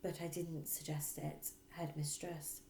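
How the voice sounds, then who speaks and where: A woman voicing a gentle, nervous but determined teacher in a storybook, polite and pleading. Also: low-pitched, level tone, unhurried, gravelly. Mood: pleading, firm. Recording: roomy echo.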